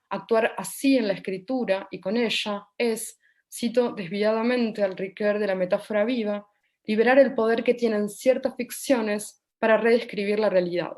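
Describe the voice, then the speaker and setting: A woman reading a prepared academic presentation aloud in a measured, formal way. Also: mid-range voice, a little mellow.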